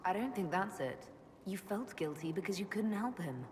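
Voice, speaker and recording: An actress playing a composed, inquisitive heroine in a video game, speaking in a measured, direct way. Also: female voice, medium pitch, lively intonation, long reverb tail, a little hiss or hum, natural voice. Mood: calm, curious. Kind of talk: video game dialogue acting.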